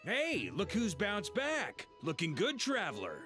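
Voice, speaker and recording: A breezy young game character, fairly high for a man and swinging widely in pitch. He is confident, casual and teasing, with clear music playing underneath. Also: huge pitch swings, unhurried, exaggerated voice. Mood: playful, teasing. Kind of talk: video game voice acting. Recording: music behind the voice.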